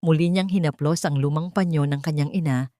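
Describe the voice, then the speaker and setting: A woman with a Filipino accent narrating a scripted dramatic story in a solemn, sentimental, measured way. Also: low voice, dark tone, no room sound, studio quality.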